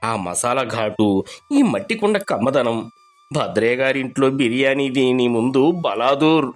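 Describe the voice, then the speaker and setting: Guy doing a poor village laborer, earnest, lively swoopy voice, cartoon story. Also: mid-range voice, measured pace, faint music behind, natural voice. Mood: delighted. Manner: expressive, pleading.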